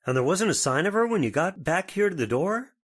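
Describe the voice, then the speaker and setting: Animated, folksy sheriff, fairly high-voiced man acting. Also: fairly quick. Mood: skeptical. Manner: plain-spoken, authoritative. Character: folksy, skeptical small-town sheriff in horror radio play. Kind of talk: old-time radio horror acting.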